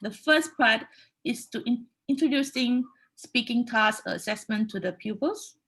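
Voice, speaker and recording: Husky, higher-pitched Malaysian woman presenting. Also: animated, a little slow, a bit bright, poor audio. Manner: instructive, checks in with frequent "okay" and "right" tags. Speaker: high school English teacher giving a conference presentation. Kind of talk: explanatory online presentation.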